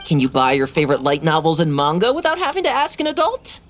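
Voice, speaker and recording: A woman's voice: sits low, huge pitch swings, fast, a little hiss or hum. A woman voicing a frank, encouraging young kid in a video game, in a matter-of-fact way.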